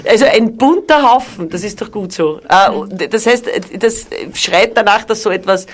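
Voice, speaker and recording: A woman's voice: mid-range voice, moderate pace. A woman hosting a casual radio interview in a studio, chatty and joking with her guests. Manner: chatty, joking, laughs often. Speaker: radio host.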